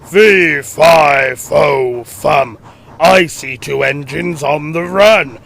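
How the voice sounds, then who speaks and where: A man voicing a huge, greedy, menacing storybook giant in a booming, slow chant. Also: gravelly, a bit bright, exaggerated voice.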